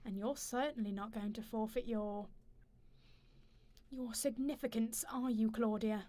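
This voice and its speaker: A woman playing a wronged older wife in a radio play, dignified and bitter, with a measured, probing, accusing delivery. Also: on the high side, steady tone, mellow, close to an everyday voice.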